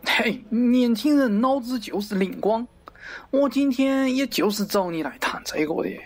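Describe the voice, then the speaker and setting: A man's voice: very high voice, animated, measured pace, full character voice. A man playing a pompous middle-aged wholesale market director in a parody radio drama, avuncular and lecturing, crude yet fond of poetry. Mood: confiding. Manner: avuncular, lecturing, theatrical, abruptly swearing.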